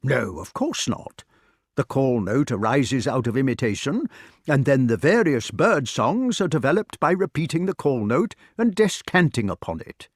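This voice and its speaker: A man's voice: medium pitch, very animated, moderate pace, no echo, studio quality, pitched above the performer's own voice. A man voicing an eager, learned old male wizard who lectures with enthusiasm in an audiobook reading. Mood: lecturing. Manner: lecturing, enthusiastic, mimics bird calls.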